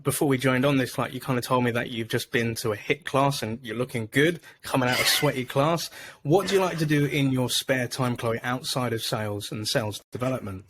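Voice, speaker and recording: A man's voice: medium pitch, a bit bright, a little hiss or hum. A man hosting a podcast over a video call, upbeat and chatty, with lots of fillers. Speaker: podcast host. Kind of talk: casual video-call interview.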